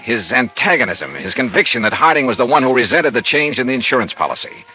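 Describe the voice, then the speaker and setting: A hard-boiled 1950s radio investigator, fast and clipped, with a lively swing in his pitch. He is dry in narration and insistent with questions, on a dull old recording. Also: slightly rough. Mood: dry, intent. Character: brisk, hard-boiled male insurance investigator. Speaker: narrator and lead actor. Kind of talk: 1950s radio detective acting. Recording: dull vintage radio recording, music behind.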